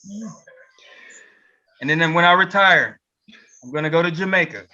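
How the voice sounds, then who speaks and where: A man's voice: a little high, moderate pace, bright, forceful tone. Easygoing man in his thirties, lo-fi mic. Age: 30s. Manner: easygoing, joking. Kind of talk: casual chat. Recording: dull lo-fi mic.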